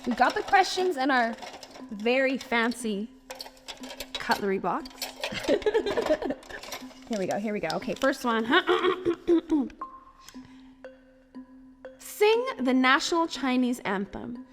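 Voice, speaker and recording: A woman's voice: high voice, animated, slow pace, long pauses. A woman hosting a casual quiz chat in a bubbly, laughing way. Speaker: host. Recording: reverberant room with music underneath.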